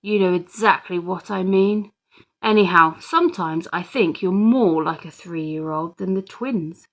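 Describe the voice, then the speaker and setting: Woman playing an exasperated, teasing young-family mum, creaky-edged. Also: lowish voice, a little slow, rough recording. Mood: scolding. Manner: incredulous, emphatic, mock-scolding.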